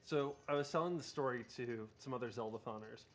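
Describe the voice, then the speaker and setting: A man's voice: medium pitch, a little fast, a bit bright. A man co-hosting a charity gaming stream, telling a long story in an easy, explaining way. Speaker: marathon co-host. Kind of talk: casual chat, telling a story.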